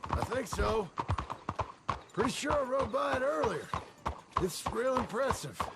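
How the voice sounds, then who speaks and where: A man playing a gruff, irritable Wild West outlaw in a video game, with a gravelly American voice. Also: extremely high pitch, big pitch swings, a little slow, very noisy, exaggerated voice. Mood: doubtful. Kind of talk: video game cutscene acting.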